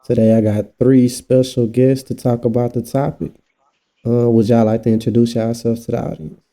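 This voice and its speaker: A man's voice: medium pitch, steady tone, normal speed, warm tone. A man hosting a casual podcast, laid-back and encouraging. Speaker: podcast host.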